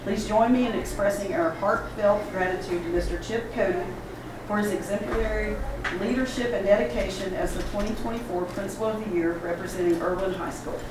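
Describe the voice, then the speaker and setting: A hoarse-voiced woman representing a sponsor, reading formal, measured remarks at a ceremony. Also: mid-range voice, fairly even intonation. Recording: echoey hall from far off, noisy.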